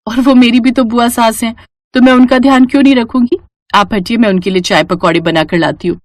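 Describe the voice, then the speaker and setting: A woman voicing a gentle, dutiful young daughter-in-law in a family story, patient and softly reasoning, with self-respect. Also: a little high, fairly quick, no echo, lightly acted. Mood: helpful, patient.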